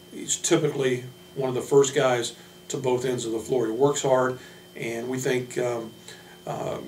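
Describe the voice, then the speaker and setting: Flat-toned American coach in an echoey room. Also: male voice, mid-range voice. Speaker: head basketball coach. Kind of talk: informal team introduction speech. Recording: echoey room from a distance, hum, noise.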